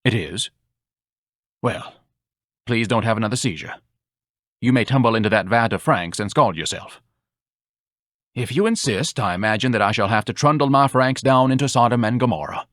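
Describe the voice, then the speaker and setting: A man's voice: mid-range voice, normal speed, pauses often, a bit bright, dry recording, studio quality, close to an everyday voice. A man voicing a pompous, self-pitying fat young man who speaks in lofty, grandiloquent phrases. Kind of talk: audiobook dialogue.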